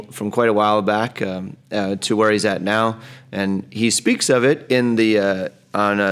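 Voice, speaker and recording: Fast-talking man hosting a podcast. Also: fairly low pitch, smooth. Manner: quick, eager, full of fillers. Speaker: podcast host. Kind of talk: casual podcast interview.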